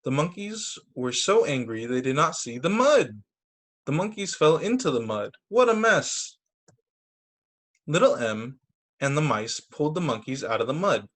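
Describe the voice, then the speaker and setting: Animated man reading children's stories. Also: on the high side, measured pace. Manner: expressive, with brief casual asides. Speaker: teacher reading picture books to children. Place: remote video story time.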